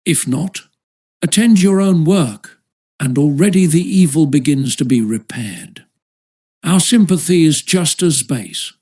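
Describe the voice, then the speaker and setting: Man reading an audiobook, slightly high-pitched. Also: lively intonation, a little slow, a little mellow, breathy edge. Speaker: audiobook narrator. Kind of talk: audiobook reading of a philosophical essay.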